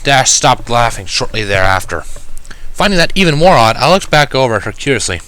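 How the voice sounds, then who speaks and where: A man's voice: lowish voice, pushed and bright. A man reading scripted first-person fan fiction as the narrator.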